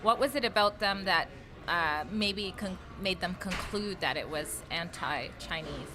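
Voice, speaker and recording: Woman interviewer with noisy ambience. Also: lowish voice. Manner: measured, probing questions. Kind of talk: news interview.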